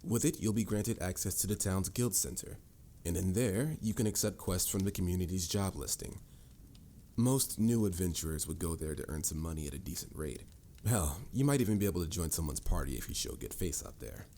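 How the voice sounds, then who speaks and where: A stern adult mage , played by a man, speaks in a low, mellow voice with formal, measured phrasing. He lectures the listener with dry exasperation, as a mentor in a fantasy audio roleplay. There is some noise and rumble behind him. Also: lively intonation. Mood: instructive.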